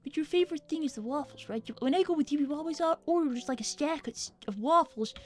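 Woman voicing an excitable, very high-pitched young boy fox. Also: quick pace, lightly acted. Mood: insistent. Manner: chatty, earnest, excitable. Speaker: livestream host playing a game. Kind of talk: in-character casual game commentary.

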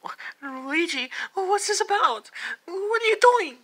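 A man acts out a stubborn, exasperated cartoon plumber in a homemade comedy skit. The voice is whiny and emphatic, with hugely animated pitch and a bright, forceful edge. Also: normal speed, heavily acted. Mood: alarmed. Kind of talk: amateur comedy skit character acting.

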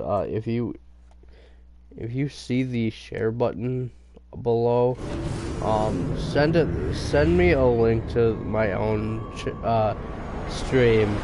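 A young man with a stuffy nose and a raspy voice, chatting loosely and swearing at enemies while he streams a game. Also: mid-range voice, noisy background. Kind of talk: casual gaming commentary.

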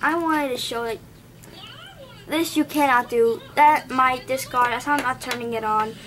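Young indian woman rambling thru an unboxing, high voice, noisy room behind her. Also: steady tone, normal speed, fairly bright tone. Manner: rambling, thinks aloud, corrects herself. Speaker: gadget reviewer. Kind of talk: casual unboxing vlog. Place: home desk, indoors. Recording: noisy home camera recording.

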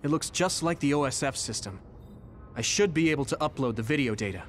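An actor playing a resolute, earnest young soldier in an anime game, speaking plainly. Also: male voice, mid-range voice, lively intonation, brisk, a little hiss or hum, natural voice. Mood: resolved. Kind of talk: English-dubbed anime game acting. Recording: voice over loud music score.

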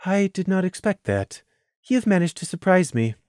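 A storyteller voicing a calm, reserved young pony mare who speaks flatly and briefly. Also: medium pitch, normal speed, no echo, clean studio sound, close to an everyday voice. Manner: flat, clipped, understated.

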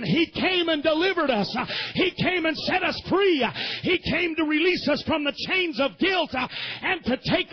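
A man preaching a sermon as pastor, swinging between shouted, fervent climaxes and low, quiet stretches, with frequent "amen". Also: muffled recording.

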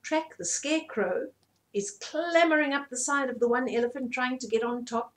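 A woman in her fifties reading a story aloud to children in an animated way, often asking the listener questions. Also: sits high, normal speed. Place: small indoor room at home.

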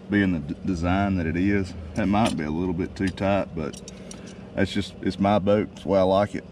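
A man, casually explaining a how-to job in a driveway with a relaxed, folksy manner and many fillers. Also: fairly low pitch. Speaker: DIY video host. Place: outdoors in a driveway. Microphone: camera mic. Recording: distant outdoor camera mic with noise.

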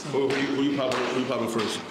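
A young man hosting a casual dating game, prompting contestants in a relaxed, slangy way and often repeating himself. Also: medium pitch, very fast. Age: young adult. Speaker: host. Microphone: handheld mic. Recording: echoey studio with loud noise.

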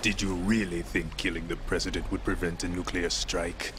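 An actor playing a menacing, bitter undead villain soldier with a deliberate, taunting delivery in a video game cutscene. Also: male voice, deep voice, level tone, background noise, lightly acted. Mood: mocking, grave. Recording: loud music over the voice.

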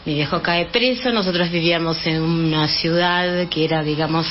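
A woman's voice: lowish voice, steady tone, normal speed, highs cut off, soft background music. A woman in her fifties from Chile, giving a casual radio interview and talking hesitantly with many fillers and restarts. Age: 50s. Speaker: interview guest.

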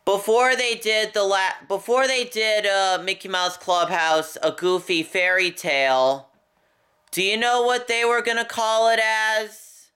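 A young man talking casually and unscripted to a camera in a bedroom, halting and repeating his phrases. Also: sits high, fairly even intonation, bright, forceful tone, clear, resonant tone. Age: young adult. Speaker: vlogger. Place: small furnished bedroom, indoors. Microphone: camera at about arm's length.

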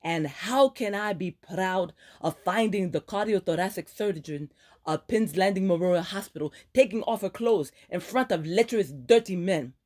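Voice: mid-range voice, moderate pace, pitched above the performer's own voice, close to an everyday voice. A reader voicing a fiery woman doctor, scolding her friend in a raised, sarcastic voice. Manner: exasperated, sarcastic, lively scolding.

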